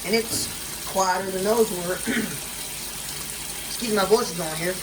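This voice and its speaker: A man's voice: sits high, fairly even intonation, measured pace. Rambling man over running water. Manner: rambling, hesitant, lots of fillers and restarts. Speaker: vlogger. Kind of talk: casual home walkthrough vlog. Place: indoor condo kitchen by a running washer. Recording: noisy with running water and appliance sounds.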